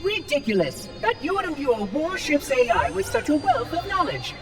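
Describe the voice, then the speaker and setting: An actor voicing a prim, excitable male robot caretaker AI in a video game cutscene, fussy and effusive. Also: extremely high pitch, moderate pace, faint music behind, full character voice. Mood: excited prim. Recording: distant voice over loud score.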